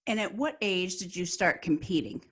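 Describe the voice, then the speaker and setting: A woman's voice: fairly low pitch, normal speed, breathy edge. A woman hosting a podcast, reading an enthusiastic introduction and asking interview questions. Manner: warm, enthusiastic, read-aloud delivery. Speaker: podcast host.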